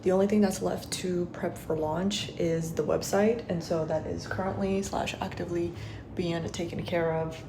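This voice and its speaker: A woman in her twenties chatting casually and upbeat to camera as a vlogger, her voice slightly hoarse. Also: fairly low pitch, fairly even intonation, normal speed, background noise. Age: 20s. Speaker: vlogger, startup founder.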